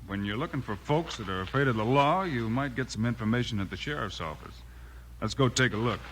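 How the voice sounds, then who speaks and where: A man's voice: mid-range voice, expressive, brisk, lightly acted. An American actor playing a confident, commanding lawman hero in a 1950s radio western. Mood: steady, purposeful. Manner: decisive, commanding. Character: brisk, confident male cowboy lawman hero. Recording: very noisy old radio recording.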